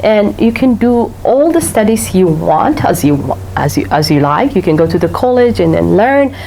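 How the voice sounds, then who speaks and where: A woman's voice: medium pitch, very animated, moderate pace, creaky, warm tone, slight background noise, rough recording. A woman from Africa with an Eritrean accent, speaking as a guest author at a library talk, laughing often and repeating words for emphasis. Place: public library room.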